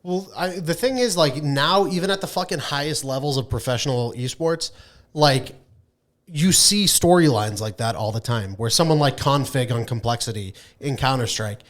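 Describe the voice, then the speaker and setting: A man's voice: medium pitch, moderate pace, fairly bright tone. Profane, informal man talking gaming. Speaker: podcast co-host. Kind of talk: casual podcast chat about gaming.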